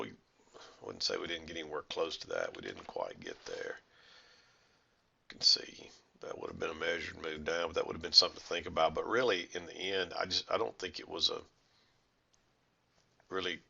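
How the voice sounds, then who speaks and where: A middle-aged man with a hoarse, congested voice from a head cold, explaining chart trades in a rambling, self-correcting way. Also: sits low, a little fast, sharp tone, muffled recording. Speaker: trading educator. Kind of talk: screen-share trading recap.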